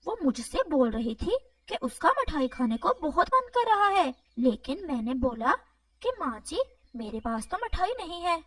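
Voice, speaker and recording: A woman's voice: high-pitched, sing-song, normal speed, a bit bright, distant mic. A woman voicing a sly, greedy male crow in a children's cartoon, with a wheedling, pleading manner. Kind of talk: animated children's moral story dialogue.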